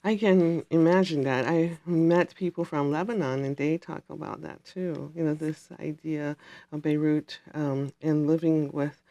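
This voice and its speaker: Low-voiced woman hosting a TV interview. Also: steady tone, a little slow, mellow, muffled recording. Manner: asks short questions, frequent affirming backchannels. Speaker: TV talk show host. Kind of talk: interview host.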